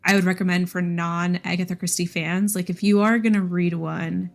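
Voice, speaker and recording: A woman's voice: lowish voice, flat delivery, normal speed, vocal fry. A woman co-hosting a podcast, discussing books in a casual, conversational way. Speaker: podcast co-host.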